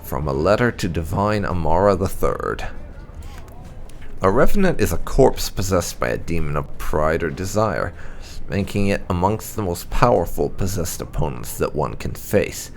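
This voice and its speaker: A man reading game lore entries aloud as scripted narration, in a formal, measured, deliberate way. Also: low-pitched, expressive, creaky, a little hiss or hum. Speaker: gaming channel host.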